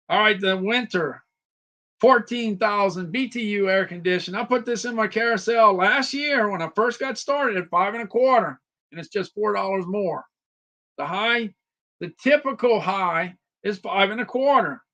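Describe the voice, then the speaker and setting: High, bright-voiced man chatting repetitively. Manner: repetitive, chatty, talks to viewers by name. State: slightly worn voice. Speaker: livestream host reviewing deals. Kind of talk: casual live product chat.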